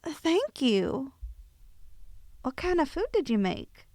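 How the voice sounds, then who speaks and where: A woman acting a sweet, bashful young woman in an ASMR roleplay, speaking softly and hesitantly with affection. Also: high voice, big pitch swings, takes it slowly, no room sound, exaggerated voice. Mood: delighted, affectionate. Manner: soft, hesitant, affectionate, sighing. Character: sweet, bashful young woman shrunk tiny, tenderly confessing feelings.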